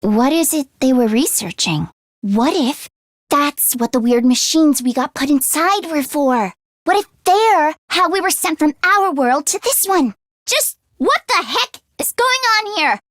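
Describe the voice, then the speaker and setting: High-pitched, youthful tomboy girl. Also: a little slow, no room sound. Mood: wary, indignant. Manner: casual, expressive, youthful phrasing. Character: earnest, anxious teenage girl mechanic, friendly tomboy. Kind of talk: English video game voice acting.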